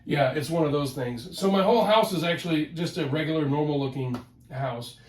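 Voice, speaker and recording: A man with a head cold, sounding nasal, hosting a casual collector vlog from his home office in an excitable, rambling way that repeats words. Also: fairly high pitch, fairly quick. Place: home office, ten-foot ceiling. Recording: roomy home office, mic at a distance.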